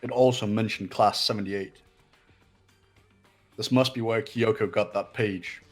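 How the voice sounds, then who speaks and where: A pompous young intellectual from a video game, voiced by a man, low and husky. He sounds condescending and analytical, with music underneath. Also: steady tone. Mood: smug, analytical. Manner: pompous, impatient, deliberate.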